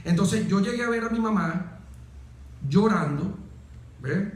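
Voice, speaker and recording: A man in his forties with a Venezuelan accent, speaking deliberately and emphatically as an interview guest, with pauses and repeated phrases. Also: high voice, lively intonation. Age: 40s. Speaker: interview guest, military officer. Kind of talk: reflective, oratorical interview talk. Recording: very echoey room.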